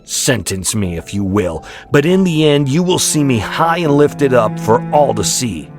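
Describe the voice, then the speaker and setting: A man's voice: mid-range voice, sing-song, a little slow, pitched above the performer's own voice. A man voicing a righteous, defiant prophet who scolds with preacherly passion in an audiobook drama. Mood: resolute. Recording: music behind the voice.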